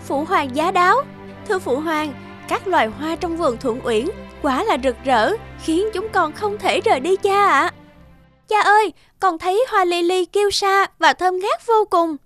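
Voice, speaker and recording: A woman voicing an excited, girlish young heavenly princess in a cartoon fairy tale. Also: extremely high pitch, normal speed, pitched above the performer's own voice. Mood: delighted. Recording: music behind the voice.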